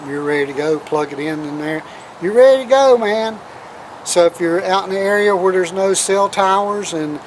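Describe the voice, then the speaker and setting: A man with a somewhat higher-pitched voice hosts a casual how-to demonstration. His talk is plain and folksy, with informal grammar. He is recorded outdoors, with clearly audible ambient and vehicle noise around him. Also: poor audio. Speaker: host of a do-it-yourself radio video.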